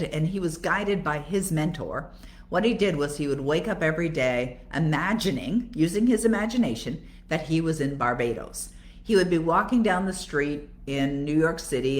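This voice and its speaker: An older woman with a Canadian accent, speaking conversationally as a coach on a live Q&A and answering her own rhetorical questions as she explains. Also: sits low. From Toronto, Canada. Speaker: coach and author hosting a live Q&A.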